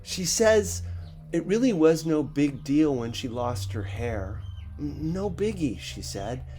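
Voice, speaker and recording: A man voicing a hesitant, earnest man in his thirties in audiobook dialogue, halting and emphatic. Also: fairly high pitch, big pitch swings, mellow, faint music behind. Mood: earnest, gentle.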